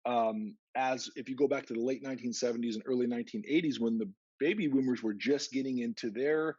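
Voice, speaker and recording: A man's voice: medium pitch, fairly even intonation, fast talker, a little mellow, husky, no room sound. A man giving methodical, conversational market commentary as an investment analyst on a conference call. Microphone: conference call line. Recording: dull, narrow conference call audio.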